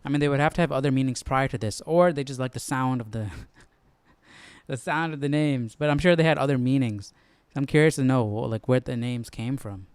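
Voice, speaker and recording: A man's voice: medium pitch, a little fast, a little mellow, clear, resonant tone, no room sound. A man hosting a live study stream, thinking aloud in a casual, inquisitive way. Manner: musing, inquisitive. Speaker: host of a Bible study stream.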